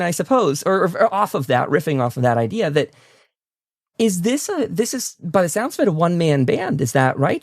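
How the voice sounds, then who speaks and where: Creaky-voiced man hosting an enthusiastic interview. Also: a little high, no room sound, clean studio sound. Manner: rambling, halting questions with repeated words; enthusiastic, effusive. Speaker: interview podcast host. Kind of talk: casual podcast interview.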